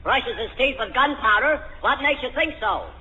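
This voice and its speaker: A man's voice: very high-pitched, animated, normal speed, pushed and bright, heavily acted. A man playing an exasperated, mock-pompous quizmaster in 1940s radio comedy, incredulously repeating what he hears. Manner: incredulous, repeats answers back. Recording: scratchy, muffled, reverberant 1940s radio recording.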